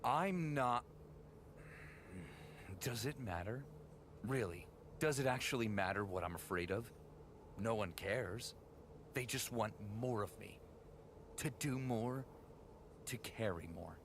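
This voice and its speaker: A man's voice: mid-range voice, expressive, slow pace, long pauses, slight background noise. A man acting a weary, self-doubting hero in a fan-made science fantasy audio drama. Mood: bitter, anguished. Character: weary, self-doubting heroic young male Titan guardian.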